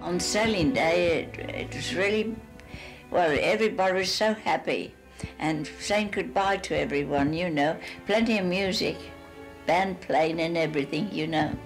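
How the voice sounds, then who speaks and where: An older woman shares a spoken reminiscence in a rambling, conversational way. Her voice sits on the low side, with faint music behind it. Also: normal speed. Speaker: shipwreck survivor.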